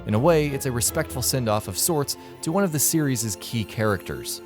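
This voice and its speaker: A man's voice: mid-range voice, lively intonation, a little fast. Male narrator for a scripted gaming countdown, middle register, light music bed. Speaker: narrator of a video game list.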